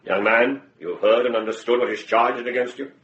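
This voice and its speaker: An actor playing an authoritative medieval judge in a radio drama, speaking formally and deliberately. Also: male voice, medium pitch, fairly bright tone, a little hiss or hum, lightly acted. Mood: grave, formal. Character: stern, authoritative older male medieval judge. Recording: echoey courtroom, muffled, murmuring crowd.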